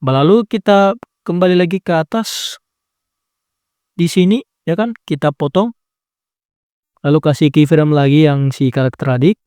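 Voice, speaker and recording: Calm man talking through an edit. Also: fairly high pitch, a little slow, pauses often, clear, resonant tone, no room sound, studio quality. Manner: calm instructing with frequent "nah" and self-corrections. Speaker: video editing tutor. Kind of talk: step-by-step screen tutorial.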